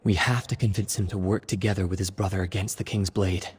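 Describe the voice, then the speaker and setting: A calm, calculating strategist from a video game story. His voice is fairly low and husky as he weighs things up. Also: fairly quick. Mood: measured. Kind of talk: dramatic video game voice acting.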